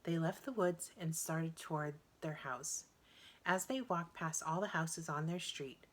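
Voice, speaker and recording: A woman reading a children's story aloud in an expressive, deliberate way. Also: sits low. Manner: animated, deliberate, acts out dialogue. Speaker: storybook reader.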